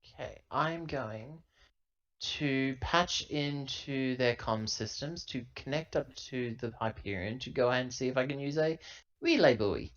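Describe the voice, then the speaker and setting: A man's voice: medium pitch, a little slow. Dull-sounding man rambling through a roleplay. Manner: rambling, thinking out loud. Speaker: player in a tabletop roleplaying game. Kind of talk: casual improvised tabletop roleplay. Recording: dull, lo-fi laptop recording.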